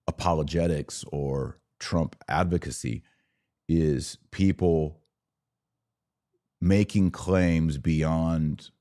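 A man's voice: very deep voice, a little mellow. An American man hosting a podcast, giving emphatic, opinionated live commentary on politics and religion in short clipped phrases. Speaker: podcast host.

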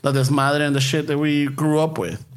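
A man's voice: on the high side, little pitch movement, normal speed. A man co-hosting a casual podcast, slangy and sweary, mixing in Spanish. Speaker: podcast co-host. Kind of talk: casual chat.

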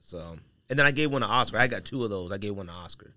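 Man, casual podcast host, bright tone, lively pitch swings, dry close sound, slangy banter. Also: fast talker, dry recording. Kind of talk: casual chat.

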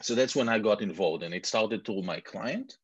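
Israeli man in his forties, husky and a touch low, dry close sound, rambling self-mocking storyteller. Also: lively intonation, moderate pace, no room sound. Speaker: guest entrepreneur. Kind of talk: casual interview chat. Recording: dull muffled lo-fi sound.

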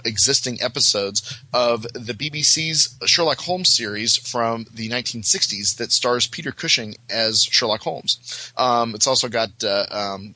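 A man chatting casually on a panel, rambling and halting as he digresses with enthusiasm. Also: mid-range voice, bright, forceful tone, no echo, low-quality recording. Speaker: guest panelist on a podcast.

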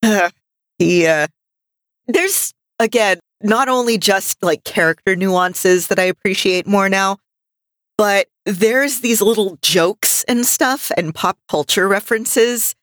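A woman, probably in her thirties, co-hosting a podcast and reflecting casually in thoughtful, halting phrases. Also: mid-range voice, fairly bright tone, dry recording, studio quality. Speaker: podcast co-host. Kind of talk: reflective casual review discussion.

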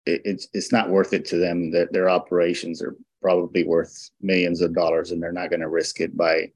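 A man's voice: low-pitched, level tone, a little fast, darkish tone, no room sound. A man who is a guest on a hunting radio show, giving casual interview answers with a lot of hesitation and restarts. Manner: hesitant, many restarts and fillers.

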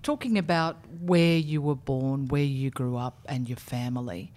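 Very low-voiced Australian woman hosting a podcast. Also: unhurried, darkish tone. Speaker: podcast host and interviewer. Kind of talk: scripted introduction and casual interview chat.

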